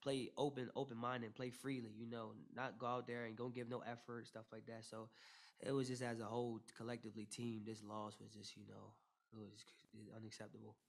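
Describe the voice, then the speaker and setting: Young man, flat postgame answers. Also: medium pitch, brisk, creaky. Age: young adult. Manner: flat, steady delivery full of "you know" fillers and repeated starts. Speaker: basketball player at postgame press conference. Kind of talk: postgame press conference answers. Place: press room with logo backdrop. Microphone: table mic in front.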